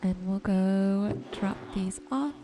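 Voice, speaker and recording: A woman giving relaxed ASMR-style gameplay commentary, gently thinking aloud without hurry. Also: medium pitch, slow pace, music in the background. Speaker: gaming video host.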